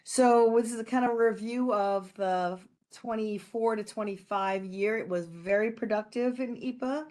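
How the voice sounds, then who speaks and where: A woman's voice: on the high side, measured pace, hardly any pauses, a little mellow. Upbeat woman giving an update. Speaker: presenter.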